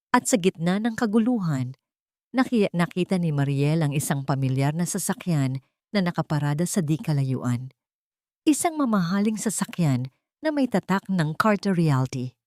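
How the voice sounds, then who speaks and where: A Filipino woman narrates a dramatic romance story in a solemn, emotive manner. Her voice sits on the low side, and the recording is close and dry, with little room sound. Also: a little mellow, no echo. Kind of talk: dramatic scripted storytelling, radio drama style.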